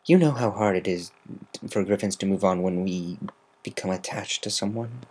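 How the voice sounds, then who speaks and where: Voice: sits low, normal speed, darkish tone, husky. A reader voicing a teasing, sardonic female griffin who is secretly fond and caring, in a dramatic fan fiction reading. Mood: defensive, sad.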